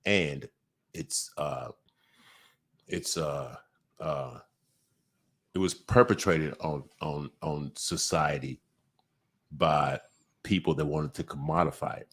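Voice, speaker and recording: A man with a very low voice, talking casually on a podcast. He stops and restarts often, fills gaps with "uh" and "like", then pushes hard on the points he cares about. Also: a bit bright, husky. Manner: halting, many restarts and fillers, emphatic bursts. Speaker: podcast host. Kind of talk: casual conversation.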